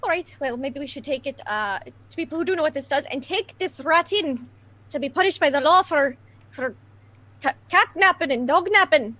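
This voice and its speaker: A woman's voice: high-pitched, animated, normal speed, sharp tone, no echo. A woman playing a tabletop game, speaking casually and chattily. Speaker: player.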